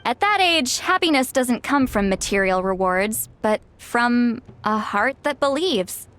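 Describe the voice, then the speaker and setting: A woman playing a gentle, bookish young storyteller in a video game, thoughtful and articulate. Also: a little high, animated, measured pace. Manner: articulate, explanatory. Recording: music playing behind.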